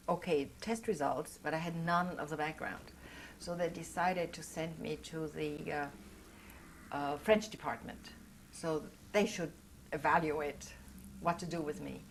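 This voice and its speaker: A woman's voice: low-pitched, a little hiss or hum. An older woman whose first language is German, telling her life story in an oral history interview, reflective and earnest as she searches for words.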